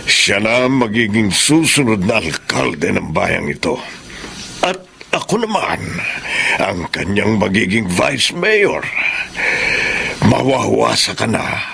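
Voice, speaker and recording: Slow, gravelly scheming villain. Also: male voice, fairly low pitch, sing-song, pushed and bright, a little hiss or hum, exaggerated voice. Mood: gloating, vengeful. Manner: slow, menacing, theatrical gloating. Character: gloating, vengeful scheming male politician villain, older father-in-law. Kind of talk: Filipino radio drama acting.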